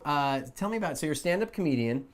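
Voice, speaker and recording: A man's voice: fairly high pitch, animated, fast. A man hosting a late-night comedy talk show, reading mock news in a dry, mock-serious way and interviewing a guest.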